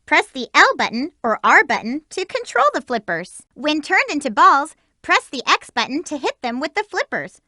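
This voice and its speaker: A woman's voice: high voice, very animated, normal speed, few pauses, pushed and bright, no room sound, clean studio sound, heavily acted. A woman voicing a peppy, eager young female hedgehog heroine for a video game, giving upbeat instructions to her team. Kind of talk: game voice clips.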